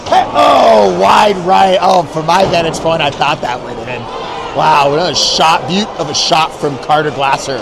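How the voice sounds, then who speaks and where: A man doing play-by-play for a youth hockey game, folksy and excitable, shouting on the big plays. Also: a little high. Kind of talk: amateur play-by-play commentary. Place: rink arena, up in the stands. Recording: loud arena crowd and noise. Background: loud crowd and rink noise.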